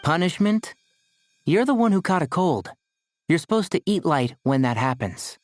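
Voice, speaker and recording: A man voicing a gentle, composed young male doctor with dry wit in a video game, polite and measured. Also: a little high, big pitch swings. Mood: wry. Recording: music playing behind the voice.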